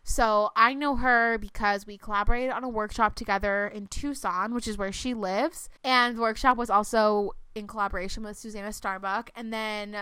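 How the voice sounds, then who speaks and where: A young woman in her twenties chats casually on a podcast, leaning on fillers as she talks. Her voice is bright and crisp, a little congested, and recorded dry and close with no room sound. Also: medium pitch, fairly even intonation, moderate pace, few pauses, dry recording. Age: 20s. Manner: chatty, lots of fillers. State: slightly congested. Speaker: podcast co-host. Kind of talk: casual chat.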